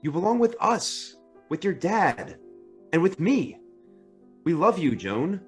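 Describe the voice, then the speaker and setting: A man's voice: sits high, big pitch swings, takes it slowly, soft background music, exaggerated voice. A man playing an earnest, insistent sweetheart who presses emotionally, in an old-time radio drama. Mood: earnest pleading.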